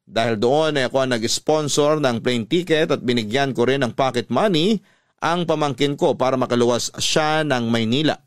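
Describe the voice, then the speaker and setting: A man's voice: mid-range voice, no echo, lightly acted. Man as earnest Filipino storyteller, dry sound. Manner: earnest, measured, confiding. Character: earnest man recounting his family story by letter. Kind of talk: scripted first-person radio drama narration.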